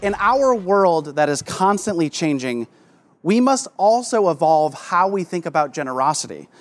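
Summit host, man, slightly high voice, upbeat scripted introduction. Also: sing-song, moderate pace, faint music behind. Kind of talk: formal introduction.

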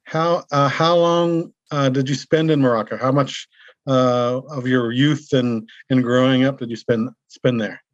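An American man hosting an interview podcast, reading a scripted intro and then asking questions with many pauses and restarts. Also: mid-range voice, steady tone, dry recording, dull audio. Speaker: podcast host.

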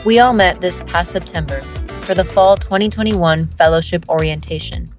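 A woman hosting a podcast, upbeat and enthusiastic as she introduces her guests. Her voice sits a little low for a woman. Faint music plays under her words. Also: moderate pace. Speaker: podcast host. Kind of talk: scripted intro then interview questions.

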